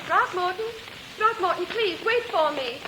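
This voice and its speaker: An actress playing an affectionate, gently teasing sweetheart, earnest and sweet, in 1940s radio comedy style. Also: female voice, extremely high pitch, animated, normal speed. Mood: tender, pleading. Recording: noisy old 1940s radio recording.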